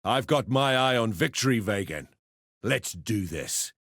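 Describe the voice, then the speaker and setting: An actor playing a gruff, sardonic fighter in a video game, speaking in blunt, colloquial barks. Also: male voice, on the low side, expressive, a little slow, a bit bright, no echo, clean studio sound, full character voice. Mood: determined.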